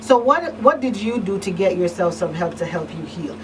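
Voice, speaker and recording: A woman's voice: on the low side, expressive, normal speed. A woman hosting a talk show, interviewing conversationally and attentively, feeling her way through questions. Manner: attentive, rephrases questions, frequent murmured acknowledgments. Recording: distant mic, noisy room hum.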